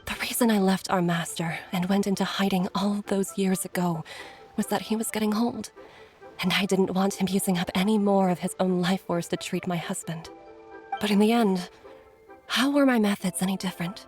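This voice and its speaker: A woman's voice: mid-range voice, steady tone, moderate pace, darkish tone, faint music behind, close to an everyday voice. A woman voicing a caring middle-aged doctor and mother who speaks earnestly with frequent sighs. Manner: earnest, sighing, concerned.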